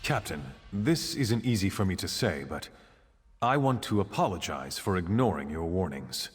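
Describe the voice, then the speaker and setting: A man's voice: deep voice, sing-song, moderate pace, faint music behind. An actor playing a proud, gracious king in a fantasy game, speaking in a stately, formal manner. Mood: solemn, gracious. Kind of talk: video game voice acting. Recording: long echo of a stone hall.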